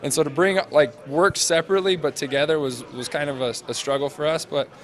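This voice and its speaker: A man in his twenties, a student interviewee, explaining a project off the cuff in casual, halting phrases into a handheld mic. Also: fairly high pitch. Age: 20s. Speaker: interviewee, senior engineering student presenting a project. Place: large glass-walled university hall or lobby. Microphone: handheld interview microphone held out to him.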